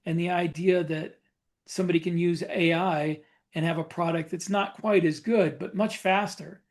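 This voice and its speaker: A man chatting casually as a podcast co-host, thinking aloud in halting phrases that he often repeats. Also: high-pitched, steady tone. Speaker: podcast co-host and fiction author.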